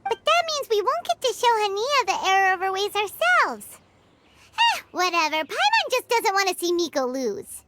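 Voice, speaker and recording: A woman voicing a tiny, excitable, childlike fairy companion in a video game, exclamatory and expressive. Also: very high-pitched, normal speed, very noisy. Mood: chatty. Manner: refers to self in third person, exclamatory, expressive. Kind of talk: animated video game voice acting. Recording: music behind the voice.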